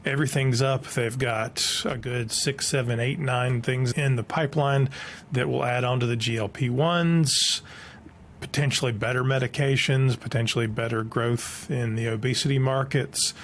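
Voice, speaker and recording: A man's voice: mid-range voice, steady tone, moderate pace, fairly bright tone. Plain-spoken man with background noise. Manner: plain-spoken, informal asides. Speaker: online video host. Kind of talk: explainer commentary, partly reading aloud.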